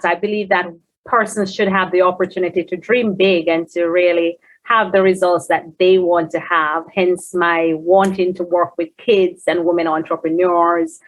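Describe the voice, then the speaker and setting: A woman's voice: fairly low pitch, low-quality recording. A woman with a Jamaican accent, answering conversationally as an interview guest. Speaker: guest, technology company founder.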